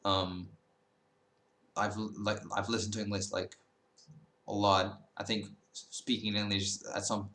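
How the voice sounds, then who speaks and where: A man's voice: on the low side, level tone, a little slow, pauses often, a bit bright, echoey room. A man in his early twenties, talking casually to camera in a rambling way, full of fillers and self-corrections. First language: Dutch. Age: 20s. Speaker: language-learning YouTuber.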